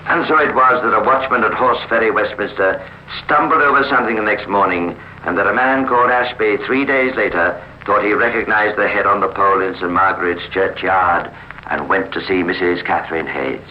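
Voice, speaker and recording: A man narrating a 1950s radio crime drama in a formal, measured storytelling manner. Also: lowish voice. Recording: dull, noisy old radio recording.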